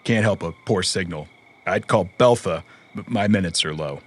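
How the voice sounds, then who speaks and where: A man playing a gruff but loyal cyberpunk mercenary in an audio drama, protective and dry, in an understated conversational delivery. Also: low-pitched, normal speed. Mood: reassuring.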